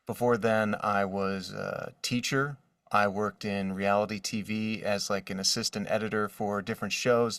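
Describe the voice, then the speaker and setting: Low voiced guy in his 40s, chatty interview guest, dry studio sound, bit away from the mic. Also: a bit bright, no echo, recorded from a distance. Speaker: guest, voice actor. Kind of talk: casual interview storytelling.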